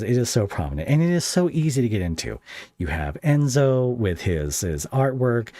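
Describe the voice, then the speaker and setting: A man with a low voice and a very dry, close sound hosts a relaxed interview. He talks in a rambling, conversational way, often feeling around for the right word. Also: dry recording. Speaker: podcast host and interviewer. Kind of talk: casual podcast interview.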